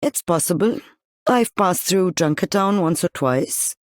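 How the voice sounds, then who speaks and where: An older veteran sniper woman from a video game, dry and unbothered. She speaks very slowly in a fairly low voice, recorded close and dry. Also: lively intonation, no echo. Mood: dry, casual.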